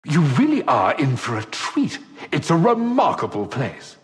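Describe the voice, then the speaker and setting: An actor voicing a flamboyant, teasing rogue operative in a video game, with florid, mock-gallant delivery. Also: male voice, a little high, wildly swooping pitch, a little slow. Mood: jovial, sly. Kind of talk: theatrical video game character acting. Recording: long echoing hall reverb.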